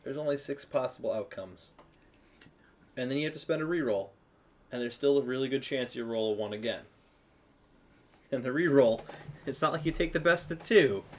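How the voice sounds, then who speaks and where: A man's voice: medium pitch, lively intonation, moderate pace, pauses often. A man chatting dryly while painting miniatures, talking through the reasoning step by step. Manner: dry, wry, explains things step by step. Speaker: show regular.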